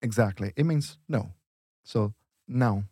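A man's voice: lowish voice, measured pace, dark tone, slightly rough, no room sound. Patient male language teacher, dry studio sound. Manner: patient, explains step by step with examples. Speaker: Portuguese teacher. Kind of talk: language lesson dialogue.

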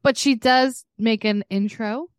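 A woman's voice: fairly high pitch, unhurried, no room sound, clean studio sound. A woman co-hosting a podcast in casual, conversational pop-culture chat, with a giggly way of talking. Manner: giggly, laughs often while talking; conversational, frequent "like" and "you know". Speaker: podcast co-host.

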